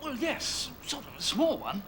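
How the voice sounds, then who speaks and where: A man's voice: extremely high pitch, sing-song, a little slow, crowd noise, heavily acted. An actor playing a desperate, broke man with a posh southern English accent, pleading and flustered. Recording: background noise.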